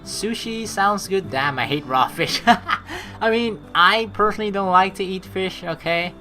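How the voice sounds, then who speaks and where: A woman's voice: mid-range voice, a little slow, bright, forceful tone. A young woman hosting a let's play, reading game narration aloud and commenting expressively in blunt, sweary language. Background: game music.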